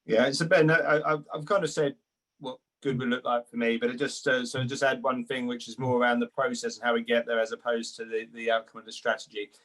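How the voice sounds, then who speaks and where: A man talks off the cuff as a panellist in a mid-pitched voice. His intonation stays quite flat, and he proceeds haltingly, repeating words as he works out each thought. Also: poor audio. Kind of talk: unscripted panel remarks.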